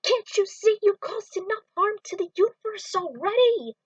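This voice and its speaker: Young storybook hero, high and fierce, done by a woman; dry sound. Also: expressive, moderate pace, dry recording, recorded from a distance, highs cut off. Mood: defiant. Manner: high, loud and intense.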